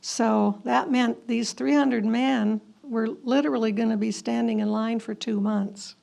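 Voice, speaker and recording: Female history presenter, gravelly and fairly high-pitched, informal, slightly hesitant explanatory talk. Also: steady tone, unhurried, a little mellow. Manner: hesitant, with frequent throat clearing. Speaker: presenter commenting on historical letters. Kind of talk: informal historical narration to an audience.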